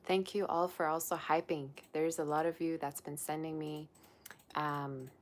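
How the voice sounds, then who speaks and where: A woman's voice: deep voice, flat delivery, normal speed. A young woman, a psychic tarot reader, chatting casually on a livestream and reading viewer comments aloud. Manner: chatty and rambling, with many "like" fillers.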